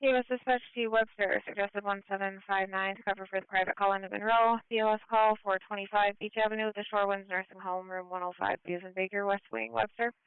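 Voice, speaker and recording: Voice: medium pitch, little pitch movement, quick pace, few pauses, smooth, no room sound. An American emergency dispatcher reading out calls in terse phrases over a two-way radio. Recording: narrow phone-like police scanner radio feed.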